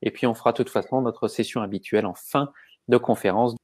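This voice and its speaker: Male webinar host, calm and measured, addressing viewers on a video call. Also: mid-range voice. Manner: welcoming, measured, gives instructions to viewers. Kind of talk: hosting a live webinar.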